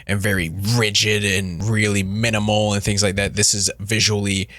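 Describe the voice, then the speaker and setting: A man's voice: fairly low pitch, flat delivery, moderate pace, fairly bright tone, no room sound, clean studio sound. A man giving casual, unscripted commentary as a video creator, talking bluntly with slang and swearing.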